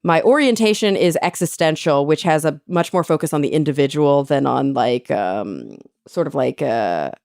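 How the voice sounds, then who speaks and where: Low-voiced chatty woman podcast host. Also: expressive, normal speed, vocal fry, hardly any pauses, darkish tone, dry recording, clean studio sound. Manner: chatty, thinks aloud with many fillers. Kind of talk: casual conversational interview.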